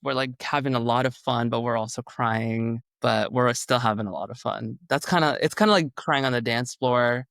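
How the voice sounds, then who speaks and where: A man's voice: mid-range voice, normal speed, vocal fry, hardly any pauses, a bit bright, no echo, clean studio sound. A young man, a singer whose first language is Urdu, talking in a rambling casual way with many fillers. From Canada. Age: young adult. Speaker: singer-songwriter guest. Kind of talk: casual interview chat.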